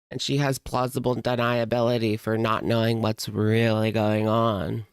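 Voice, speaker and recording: A woman streamer reacts casually with snark and plenty of slang. Her voice is clean and smooth, set in a dry, close sound, and she drags out words to make a point. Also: very deep voice, little pitch movement, no echo. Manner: snarky, slangy, drawn-out emphasis. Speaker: reaction streamer commenting on a video.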